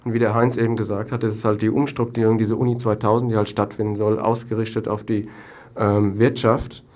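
Young man speaking in flat, halting phrases. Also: fairly low pitch. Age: young adult. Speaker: student activist guest. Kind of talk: spontaneous interview answers.

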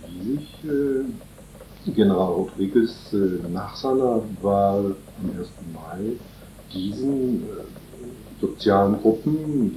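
Very deep-voiced man, halting radio talk. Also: dark tone, reverberant, a little hiss or hum, rough recording. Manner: halting, many fillers and restarts. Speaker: interview guest from a solidarity group. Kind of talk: radio interview.

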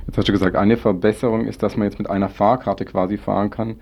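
Gravelly, even-toned male interviewer. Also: lowish voice, fast. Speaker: radio interviewer. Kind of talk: casual spoken interview. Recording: slightly dull, lo-fi sound.

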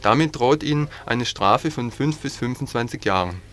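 A man with an ordinary mid-range voice reads the news from a script. His delivery is earnest and steady, like a bulletin on 1980s community radio. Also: medium pitch, normal speed, slight background noise. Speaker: news reader.

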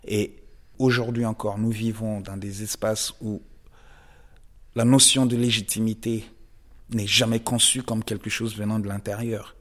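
A man from the DR Congo, a guest artist giving measured, reflective interview answers with frequent pauses and emphatic rises. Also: on the low side, flat delivery, a bit bright. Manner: measured and thoughtful, pausing often, rising to emphatic stresses.

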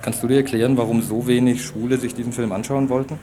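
A man's voice: mid-range voice, fairly even intonation, quick pace, dark tone, slight background noise. A man reading scripted radio news reports as a morning show presenter.

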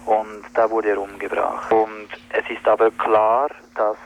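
A man's voice: lowish voice, flat delivery, unhurried, slight background noise, poor audio. A man giving an informal spoken report as a spokesman, hesitant and correcting himself. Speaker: solidarity group spokesman.

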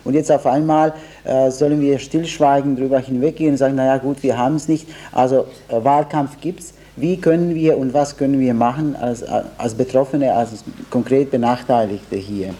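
A man's voice: mid-range voice, steady tone, normal speed, hardly any pauses, warm tone. A man making a spontaneous contribution to a discussion, hesitant and searching for his words.

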